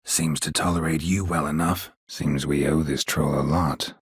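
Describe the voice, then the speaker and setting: A man voicing a gruff, stoic, dry-witted monster hunter in a video game, in a husky rasp with a terse, deadpan manner. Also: very deep voice, normal speed, no echo, full character voice. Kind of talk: video game character dialogue.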